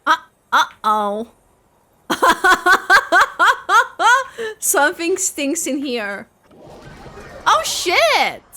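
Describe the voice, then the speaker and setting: A young woman streamer chatting through a game playthrough. Her voice is high, bright and very expressive, drifting along at a relaxed pace. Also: drawn-out words, pauses often. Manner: giggly, expressive. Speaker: gaming streamer. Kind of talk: casual game playthrough reaction.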